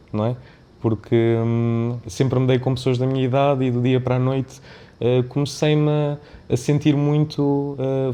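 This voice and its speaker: A man with a European Portuguese accent talks in a relaxed, mellow voice at a medium pitch. He is a podcast guest, thinking aloud in a reflective, winding way and often adding small tag questions to check he is followed. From born in Mozambique, of Indian family background. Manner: discursive, often checks with "não é?". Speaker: podcast guest, business founder and CEO. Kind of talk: reflective conversational interview.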